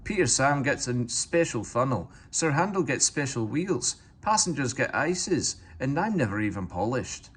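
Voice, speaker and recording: A man's voice: mid-range voice, big pitch swings, normal speed, few pauses, fairly bright tone, slight background noise. A man voicing a sulky, obstinate male engine who grumbles and complains in a storybook reading. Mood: aggrieved.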